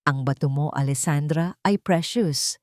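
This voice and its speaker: A devoted man in love, voiced by a woman narrator, speaks with a Filipino accent in a low, dry voice. He is gentle and earnest, as in a romantic audio drama. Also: measured pace, dry recording. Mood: tender.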